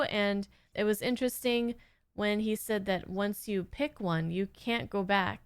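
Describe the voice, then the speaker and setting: A woman's voice: mid-range voice, clear, resonant tone, no echo. A woman hosting a reaction video, chatting casually in an appreciative, thoughtful manner. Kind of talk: casual, reflective chat.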